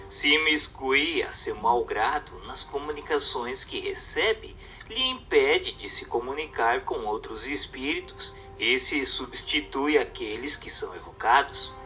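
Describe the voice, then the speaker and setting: A man reads a book as a scripted narration, in a measured, didactic way. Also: mid-range voice, sharp tone. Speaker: audiobook narrator. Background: music.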